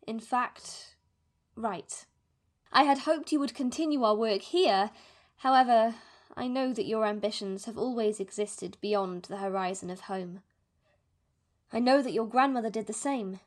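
High-voiced anxious mother played by an actress. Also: natural voice. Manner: formal, measured, wordy letter-reading. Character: anxious, wounded mother of a grown child, fiercely loving. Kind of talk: fantasy audio drama monologue.

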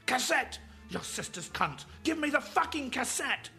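A man's voice: very high voice, sing-song, unhurried, pushed and bright, soft background music, pitched above the performer's own voice, exaggerated voice. A man voicing an enraged, menacing gunman who roars his demands in a dramatic narrated story. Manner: roaring, menacing, spitting out demands. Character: enraged screaming gunman with a rifle.

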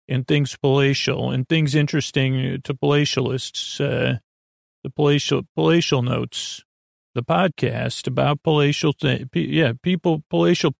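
A man with a creaky voice hosting a sleep podcast, meandering gently, mumbling and stumbling over his words as he trails off. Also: medium pitch, no room sound, clean studio sound. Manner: gentle, lulling. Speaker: podcast host. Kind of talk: rambling bedtime sleep podcast monologue.

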